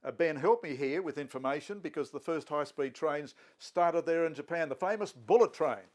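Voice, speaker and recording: Higher-voiced male host. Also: normal speed. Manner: lively, prompting questions. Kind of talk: interviewing panel guests.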